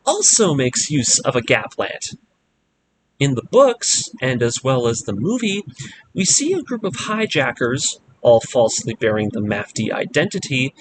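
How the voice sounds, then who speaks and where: A man hosting a video essay, narrating a scripted fan theory with emphatic, deliberate stresses on key words. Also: a little high, background noise. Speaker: host of an anime breakdown video series. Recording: music behind the voice. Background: music.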